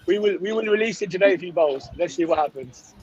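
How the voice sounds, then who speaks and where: Slightly hoarse man, higher-pitched, dull call audio. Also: animated, quick pace, a little mellow. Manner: conversational, often tags phrases with "right?". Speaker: sports fan group founder, guest. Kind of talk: casual call-in chat. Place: on a coach, remote call.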